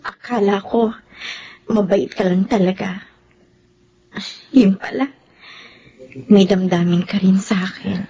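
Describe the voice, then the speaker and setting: A woman's voice: mid-range voice, steady tone, slow, long pauses, warm tone, muffled recording, slight background noise. An actress playing an earnest, emotional young woman in a Filipino radio drama, with a Filipino accent. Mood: tender, surprised.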